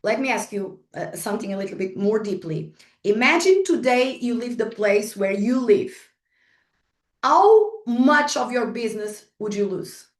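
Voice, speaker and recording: A woman's voice: fairly high pitch, expressive, measured pace. A woman with a Portuguese accent, a Portuguese native speaker, giving a chatty motivational workshop talk to an online group, full of restarts and small laughs. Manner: chatty, frequent restarts and fillers, laughs at herself. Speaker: guest speaker. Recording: echoey room, distant from the mic.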